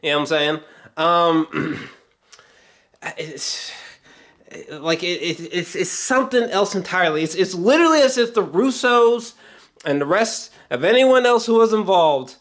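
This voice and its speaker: A man talking off the cuff in a casual film review vlog, excitable and emphatic, rambling, repeating himself and swearing often. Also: high-pitched. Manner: rambling, halting, frequent swearing. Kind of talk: unscripted, casual movie reaction talk.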